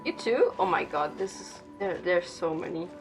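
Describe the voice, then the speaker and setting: A woman streaming a video game in a fairly low voice. She chats informally and uses slang, with game music clearly playing behind her. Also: animated, moderate pace, rough recording. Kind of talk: casual chatty live play commentary.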